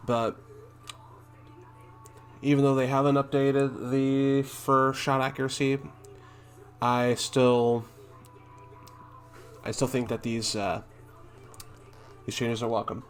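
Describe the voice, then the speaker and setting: A man doing casual commentary as he reads game notes aloud, halting and thinking out loud, with a blunt streak. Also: mid-range voice, little pitch movement, soft background music. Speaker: gaming content creator and streamer.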